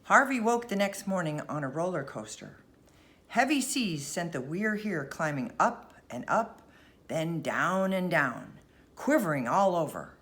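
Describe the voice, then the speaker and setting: A woman reading a bedtime story aloud as narrator, expressive and deliberate. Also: fairly low pitch.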